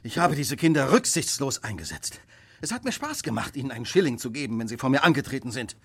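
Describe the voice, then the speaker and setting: A man acting in a radio drama as a brilliant, brooding detective, husky, intense and commanding, with clipped deductions. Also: medium pitch, big pitch swings, fast, fairly bright tone, exaggerated voice. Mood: anguished, self-accusing. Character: brilliant brooding detective, driven by guilt and fierce resolve.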